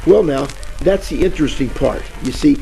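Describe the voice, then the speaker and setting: An actor playing a bumbling, boastful private detective in a parody of 1940s radio drama, telling rambling stories in hammy slang. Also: male voice, on the high side, expressive, normal speed, vocal fry, warm tone, low-quality recording. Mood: aggrieved. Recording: old-time radio with music behind.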